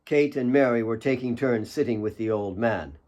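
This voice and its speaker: A man's voice: mid-range voice, normal speed, a little mellow. A man reading his own fiction as a scripted, dramatic audiobook narration.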